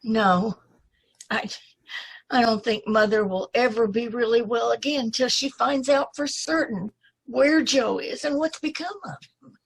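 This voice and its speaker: A woman acting a timid, polite young woman in an old-time radio play, speaking hesitantly and apologetically in a breathy, husky voice. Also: fairly high pitch, a little slow, heavily acted, low-quality recording. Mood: sad, shy. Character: timid, polite young woman seeking her lost brother.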